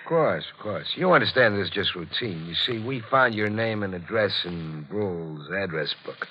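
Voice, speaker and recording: A police detective in a vintage radio mystery, polite and businesslike. His voice sits low, on a muffled old broadcast recording. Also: expressive, normal speed, a little hiss or hum. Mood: businesslike, probing. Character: courteous, businesslike police lieutenant investigating a murder. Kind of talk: 1940s radio mystery acting.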